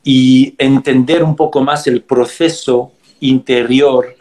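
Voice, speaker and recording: A man answering interview questions as an actor, thoughtful and halting, often pausing and restarting his sentences. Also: mid-range voice, fairly even intonation, darkish tone.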